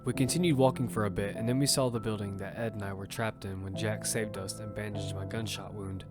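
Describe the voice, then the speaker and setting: A man's voice: lowish voice, fairly even intonation, fairly quick, close to an everyday voice. A man playing a grim survivor narrating. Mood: steady. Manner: grim first-person narration, shouting in combat. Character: battle-hardened male survivor, steady team leader. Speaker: narrator and lead character. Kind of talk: audio fiction narration. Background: music.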